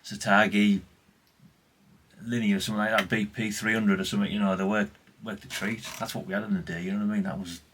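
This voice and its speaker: Male vlogger, fifties, lowish voice, unscripted and rambling, faint background noise with rustling. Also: level tone, fast, fairly bright tone, low-quality recording. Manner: rambling, chatty, with asides. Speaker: vlogger showing vintage CB radios. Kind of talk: casual, unscripted chat.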